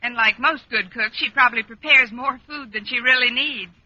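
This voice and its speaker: A woman playing a wry, sharp-witted schoolteacher in an old radio sitcom, with dry sardonic timing. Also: fairly high pitch, moderate pace, dry recording. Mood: sardonic, angling. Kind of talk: 1940s-50s radio sitcom acting. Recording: muffled, hissy vintage radio recording.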